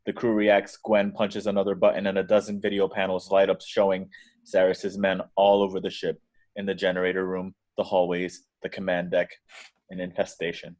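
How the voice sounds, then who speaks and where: Low-voiced man narrating a script. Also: completely flat delivery, normal speed, a little mellow. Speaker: reader of action descriptions. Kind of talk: scripted narration at table read.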